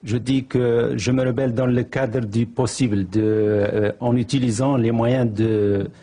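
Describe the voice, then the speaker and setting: A man whose first language is Arabic, speaking as a guest in a televised debate, halting, with many pauses and restarts. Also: medium pitch, fairly even intonation, warm tone.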